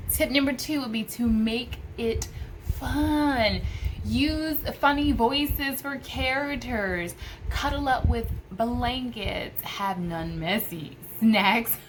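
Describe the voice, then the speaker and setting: A woman's voice: on the high side, slow pace, a bit bright, rough recording. Enthusiastic American woman with background noise. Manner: enthusiastic, emphatic with repeated phrases. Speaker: homeschooling mother giving tips. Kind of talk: casual vlog advice to camera. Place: indoors, small room by a window.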